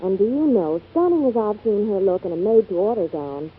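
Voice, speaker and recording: A woman's voice: medium pitch, expressive, a little fast, husky. Chatty 1940s radio woman, tinny recording. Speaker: radio reporter in commercials. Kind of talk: scripted 1940s radio advertising.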